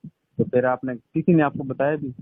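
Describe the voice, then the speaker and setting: A man's voice: medium pitch, steady tone, normal speed, dry recording. A man in casual conversation, asking questions over a band-limited phone call. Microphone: telephone line.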